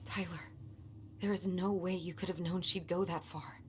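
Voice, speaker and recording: A woman's voice: lowish voice, fairly even intonation, moderate pace, close to an everyday voice. An actress as a young American woman, noisy audio. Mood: concerned, hesitant. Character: practical, playful young woman, supportive sibling and puzzle lover. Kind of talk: video game dialogue acting. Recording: noisy streamed game audio.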